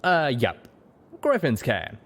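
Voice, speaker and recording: A man's voice: medium pitch, huge pitch swings, slow pace, fairly bright tone, pitched above the performer's own voice, full character voice. A man voicing a confident, teasing male pegasus pony with a folksy drawl, in an audio story. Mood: amused, proud.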